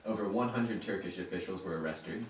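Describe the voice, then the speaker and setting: A man's voice: low-pitched, a little fast. A young man giving scripted interview answers as an expert in a student report. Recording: echoey, distant mic, faint noise.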